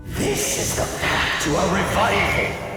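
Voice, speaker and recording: Echoing, pompous nightmare demon villain. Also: male voice, sits high, measured pace. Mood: fervent, grandiose. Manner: theatrical, pompous, gloating. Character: scheming male nightmare demon, haughty and menacing. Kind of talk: video game villain acting. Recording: echoing, distant, under loud music. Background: loud game music.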